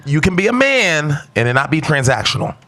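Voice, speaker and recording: A man's voice: a little high, very animated, a little fast, a loud crowd. A young man hosting a casual podcast, cutting in with "hold on now" and giving blunt dating advice in slangy speech. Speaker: podcast host.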